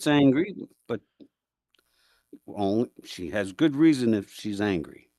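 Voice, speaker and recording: A man with a deep, projecting voice chatting casually on a remote call, apologetic and self-deprecating. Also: lively intonation, takes it slowly, warm tone, no room sound, clean studio sound. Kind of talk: casual chat.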